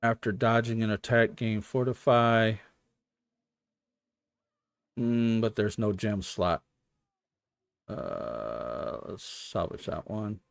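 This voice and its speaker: A man giving casual gaming stream commentary, explaining things hesitantly as he thinks out loud. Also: on the low side, slow pace, creaky, pauses often, no room sound. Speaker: game streamer.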